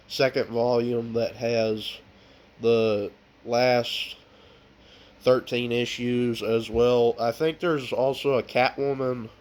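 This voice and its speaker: A man's voice: mid-range voice, a little mellow. A man with a stuffy nose from a cold, chatting casually in a vlog, showing off his book collection with plenty of ums and uhs. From United States. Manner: slow and unhurried, with frequent ums and uhs.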